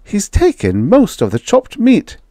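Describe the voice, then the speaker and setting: A man's voice: high-pitched, huge pitch swings, mellow, dry recording, clean studio sound, pitched above the performer's own voice, exaggerated voice. A man putting on a fretful, fussy housewife. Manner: hurried, fretful, breathless chatter. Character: fussy anxious housewife, grown woman. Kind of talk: audiobook character voice.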